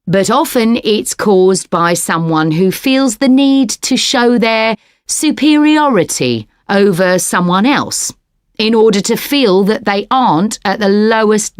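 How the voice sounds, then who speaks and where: A composed business studies lecturer, speaking slowly with lively intonation. Her scripted academic lecture is formal and explanatory. The recording is very dry. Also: medium pitch, no room sound. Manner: formal, clearly structured explanation.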